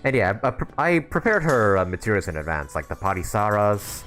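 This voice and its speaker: A man's voice: lowish voice, very animated, brisk, a bit bright, faint music behind. A young man giving casual live stream commentary on a game, informal and thinking aloud as he plays.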